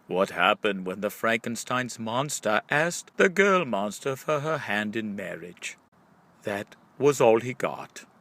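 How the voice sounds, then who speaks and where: A man reading scripted riddles and punchlines aloud like a storyteller, in a theatrical, drawn-out delivery with deliberate pauses. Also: fairly low pitch, fairly bright tone.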